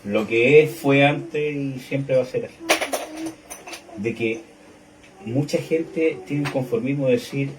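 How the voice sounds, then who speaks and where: A man's voice: medium pitch, takes it slowly, long pauses, noisy background. A man in a conversational Bible discussion, speaking tentatively and qualifying his own statements. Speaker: discussion participant. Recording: distant mic, people clearly heard around.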